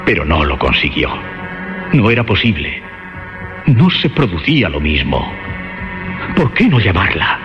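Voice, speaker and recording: A man narrating a horror radio play in a theatrical, suspenseful way, with emphatic phrasing and dramatic pauses. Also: deep voice, huge pitch swings. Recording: dull lo-fi audio under music. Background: dramatic music.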